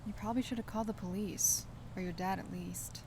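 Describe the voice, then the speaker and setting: A woman's voice: mid-range voice, fairly even intonation, a little fast, lightly acted. An actress playing a practical, dry-witted small-town woman in an audio drama. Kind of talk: naturalistic audio drama dialogue. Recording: clear background noise.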